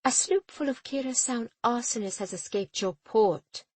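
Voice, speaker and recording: A woman voicing a proud, hot-tempered young woman ship captain, sharp and defiant. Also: mid-range voice, moderate pace, no echo, natural voice. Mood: angry, defiant.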